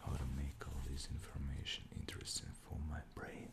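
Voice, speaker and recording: A man whose first language is Russian, whispering softly in an unhurried ASMR show-and-tell, pausing often with fillers. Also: extremely low pitch, monotone, creaky. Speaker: ASMR creator.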